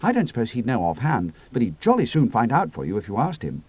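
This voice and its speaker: A man's voice: medium pitch, wildly swooping pitch, quick pace, a little mellow, breathy edge. A man reading the part of an easygoing pilot in a spoken story, with a casual, wry way of speaking. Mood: puzzled.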